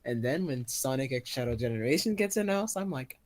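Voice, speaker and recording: A man chatting excitedly on a stream in a slangy way. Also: medium pitch, brisk. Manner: enthusiastic, slangy. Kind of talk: casual group chat on a stream.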